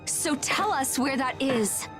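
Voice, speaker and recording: A determined young female fighter speaks in a high voice at an unhurried pace. She sounds urgent, in video game cutscene style, with faint music behind. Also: expressive, a bit bright, exaggerated voice. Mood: urgent, demanding.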